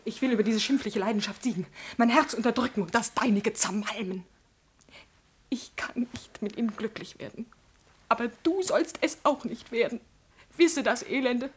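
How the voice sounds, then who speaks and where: A woman's voice: a little high, normal speed, slightly rough. An actress playing a proud, jealous noblewoman in a classical radio drama, speaking in a theatrical, imperious manner. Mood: furious, threatening. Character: proud, jealous noblewoman, a passionate prince's mistress.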